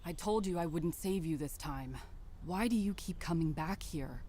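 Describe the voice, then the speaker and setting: A woman's voice: fairly low pitch, normal speed, dark tone, slight background noise, lightly acted. A woman voicing a weary, solitary woman warrior who guards the woods and speaks in a curt, guarded way. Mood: solemn. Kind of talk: animated series voice acting.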